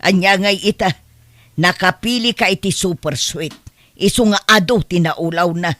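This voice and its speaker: A woman's voice: lowish voice, moderate pace, a bit bright, no room sound, natural voice. A woman playing a blunt, scolding middle-aged mother with an Ilocano accent in an audio drama. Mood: exasperated. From Philippines. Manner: exclamatory, chiding. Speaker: voice actor in comedy drama.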